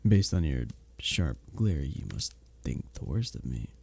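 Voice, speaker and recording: Man playing a dark-voiced, flirty medieval innkeeper. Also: a bass voice, big pitch swings, measured pace, full character voice. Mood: flirty, amused. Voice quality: dark, husky, gravelly. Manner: formal old-fashioned diction, teasing, gently courteous. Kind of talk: romantic ASMR roleplay, scripted character acting.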